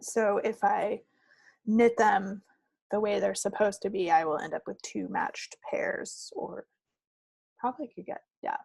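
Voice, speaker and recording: A woman's voice: mid-range voice, fairly even intonation, a little slow, gravelly, a little mellow, breathy edge, no room sound. A woman co-hosting a craft podcast, chatting casually in a rambling, playful way. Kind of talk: casual chat about projects. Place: recorded at own end of a remote video call.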